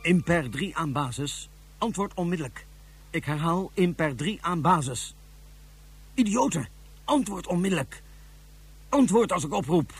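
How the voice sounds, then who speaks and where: An actor playing an imperious, hot-tempered space tyrant in a science-fiction radio drama, barking clipped commands and repeating himself. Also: male voice, on the high side, expressive, a little slow, pauses often, slightly rough, exaggerated voice. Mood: impatient, commanding.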